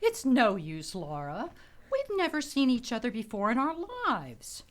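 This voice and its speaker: A wealthy busybody in a radio drama, catty and gushing. She speaks in a fairly high, hugely animated voice, drawing her words out slowly and archly. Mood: prying, doubtful. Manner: arch, gushing, drawn-out. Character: rich, nosy society lady, gushing and catty. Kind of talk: radio play acting.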